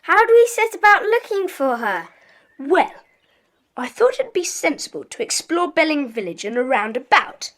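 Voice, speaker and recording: An actor playing a bossy, earnest schoolboy who leads a club in a brisk, commanding way, in an audiobook drama. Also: high voice, big pitch swings, fairly bright tone. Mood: earnest, serious.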